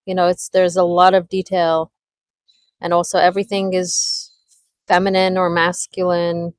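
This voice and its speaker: A woman from Israel with an Israeli accent, speaking English as a second language in a casual group call, pausing and searching for words as she talks. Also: lowish voice, little pitch movement, no room sound. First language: Hebrew. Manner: halting, searches for words with many fillers. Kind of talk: casual video call chat.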